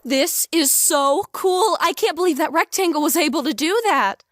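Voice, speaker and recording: A woman's voice: high voice, expressive, normal speed, a bit bright, no echo. A woman playing a seemingly innocent, wide-eyed young woman from the past in an audio drama. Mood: amazed.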